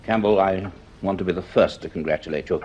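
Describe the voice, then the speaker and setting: An actor playing a grave, cultured middle-aged professor in a vintage radio drama, speaking in a measured, dramatic way. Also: male voice, low voice, a little mellow, lo-fi sound, slight background noise, close to an everyday voice. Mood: awed, excited. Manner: measured, deliberate, dramatic delivery. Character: grave, cultured male professor narrating his dreadful discovery.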